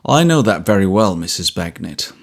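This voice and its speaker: A man's voice: low voice, sing-song, normal speed, pitched below the performer's own voice, exaggerated voice. A man playing a kindly, troubled former trooper who talks earnestly and a little apologetically. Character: troubled ex-soldier, big, gruff and kindly.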